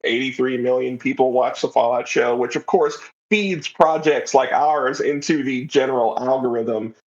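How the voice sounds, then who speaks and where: A man's voice: medium pitch, normal speed. Slightly muffled man chatting as a guest. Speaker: interview guest, audio drama writer and creator. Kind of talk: casual conversational interview.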